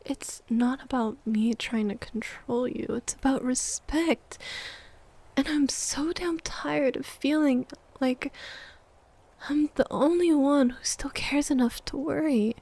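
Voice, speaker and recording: A woman's voice: high voice, slow pace, darkish tone. A woman playing a jealous, needy, wounded girlfriend in an ASMR roleplay audio drama, sighing and often dropping toward a whisper. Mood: weary, reproachful.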